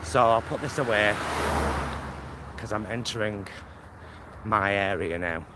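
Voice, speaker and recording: Low-voiced Manchester man vlogging amid street noise. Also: unhurried. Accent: Greater Manchester. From England. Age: middle-aged. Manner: chatty, rambling, campy endearments. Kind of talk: casual chat to camera while walking. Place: outdoors, walking along a suburban road. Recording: loud outdoor street and traffic noise.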